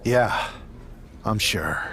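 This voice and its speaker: A man's voice: on the low side, very slow, creaky, bright, forceful tone, full character voice. A man playing a defiant, stubborn pro wrestler in a video game cutscene, talking in a blunt, folksy way. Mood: dry, casual.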